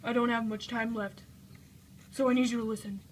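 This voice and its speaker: Urgent, vengeful king's ghost voiced by a woman, higher-pitched. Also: fairly even intonation, brisk, slight background noise, lightly acted, low-quality recording. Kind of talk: amateur scripted puppet-show acting.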